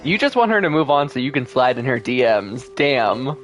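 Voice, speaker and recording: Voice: mid-range voice, big pitch swings, normal speed, a bit bright, soft background music. A game streamer giving casual, slangy, joking reaction commentary in a laid-back way.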